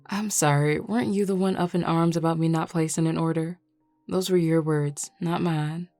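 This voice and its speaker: A woman playing a flirty, teasing male werewolf with a Southern US accent and a playful, unhurried drawl in an audio roleplay. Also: sits low, steady tone, a little mellow, no echo, faint music behind.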